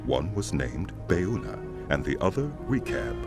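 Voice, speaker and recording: Very deep male narrator over music. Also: big pitch swings, unhurried. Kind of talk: scripted scripture narration for audio drama.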